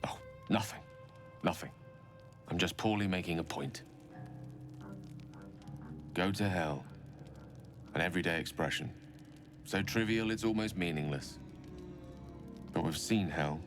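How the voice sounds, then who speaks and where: An actor playing a sardonic, brooding wizard in a video game, with wry, measured and articulate delivery. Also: male voice, sits low, over music. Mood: wry, grave. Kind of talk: video game dramatic dialogue.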